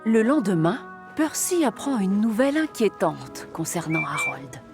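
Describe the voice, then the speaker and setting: A woman's voice: mid-range voice, very animated, a little slow, gravelly. A woman narrator reading scripted storytelling narration for a children's cartoon in French. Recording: music behind the voice.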